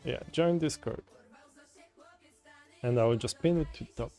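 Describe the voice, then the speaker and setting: A man with a Polish accent talks in a loose, wandering way, often restarting his sentences as he chats with viewers. His voice is warm and dark rather than bright. Folk music plays quietly in the background. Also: medium pitch, unhurried. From Poland. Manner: rambling, frequent restarts, chatty with viewers. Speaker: coding livestreamer. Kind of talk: casual livestream chat while coding. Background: soft folk music.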